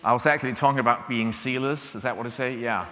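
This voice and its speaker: A man from Denmark preaches in a Danish accent, his voice bright and forward. He talks to the congregation in a loose, chatty way, full of ums and restarts, and checks in with a quick "yeah?". A long church reverb trails behind every phrase. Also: mid-range voice, fairly even intonation. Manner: conversational, many fillers and restarts, checks in with "yeah?". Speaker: visiting preacher. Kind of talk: sermon.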